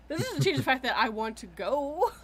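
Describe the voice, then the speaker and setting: A woman's voice: high voice, a little hiss or hum. A woman guesting on a casual podcast chat, laughing often as she talks. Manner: laughs often while talking.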